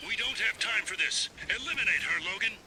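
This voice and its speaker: A man's voice: high voice, lively intonation, a little fast. A man acting as a cold, commanding colonel in a video game, curt and authoritative. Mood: stern, cold. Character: cold, commanding, ruthless male military colonel. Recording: loud noise behind the voice.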